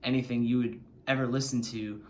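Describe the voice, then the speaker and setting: A man's voice: mid-range voice, little pitch movement, fairly quick, a little hiss or hum. A man in his twenties, a band member, speaking in an earnest, heartfelt way in an interview. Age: 20s. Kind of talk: documentary interview.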